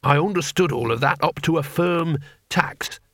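A man's voice: on the high side, normal speed, fairly bright tone, dry recording, clean studio sound, pitched above the performer's own voice. A man voicing a blunt, bloodthirsty old barbarian in a comic fantasy audiobook, simple-minded and menacing. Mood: baffled.

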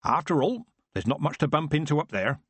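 A man's voice: on the high side, sing-song, a little fast, fairly bright tone, breathy edge, no echo, pitched above the performer's own voice, heavily acted. A man voicing a cheeky old village witch. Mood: wry. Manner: folksy, teasing, earthy.

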